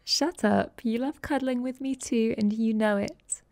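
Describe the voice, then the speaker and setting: A woman's voice: fairly high pitch, a little slow, darkish tone, no echo. A woman playing a playfully dominant, affectionate vampire girlfriend in an intimate ASMR roleplay, cooing and teasing, often dropping toward a whisper.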